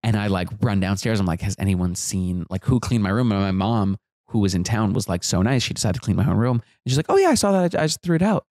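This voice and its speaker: A man in his thirties, a comedian guest, telling animated jokey stories on a podcast couch into a close boom mic. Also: fast talker, hardly any pauses, no echo. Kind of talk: casual storytelling chat. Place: living room studio with couch.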